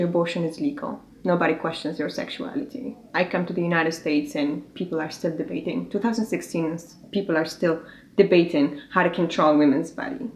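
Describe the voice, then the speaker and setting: A woman's voice: fairly low pitch, darkish tone. A young woman whose first language is Arabic, a student speaking firmly and argumentatively in an interview. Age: young adult. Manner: firm, argumentative, poised. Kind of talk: interview testimony. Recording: roomy room, faint ambient noise.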